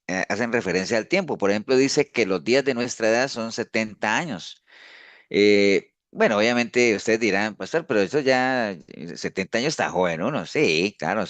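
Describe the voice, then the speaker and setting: A man's voice: lowish voice, a bit bright, no room sound. A pastor giving an informal discipleship teaching, talking conversationally and often tagging his sentences with a questioning "no". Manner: conversational, frequent "¿no?" tags and restarts.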